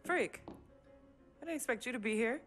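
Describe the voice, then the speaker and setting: A woman's voice: a little high, animated, moderate pace, fairly bright tone, soft background music. A woman playing a devoted young girlfriend in a video game cutscene, soothing, intimate and coaxing. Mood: concerned, tender.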